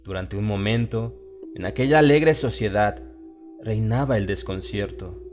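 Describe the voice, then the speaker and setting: Low-voiced Latin American man narrating horror over music. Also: a little slow, a little mellow. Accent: Latin American Spanish. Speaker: audiobook narrator. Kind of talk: dramatized horror audiobook narration.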